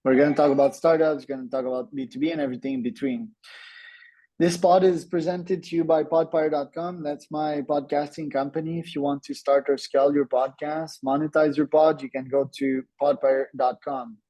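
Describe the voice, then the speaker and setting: A man's voice: on the high side, level tone, a little fast, mellow, poor audio. A thirty-year-old man hosting a podcast, introducing the show and chatting conversationally with his guest. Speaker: podcast host.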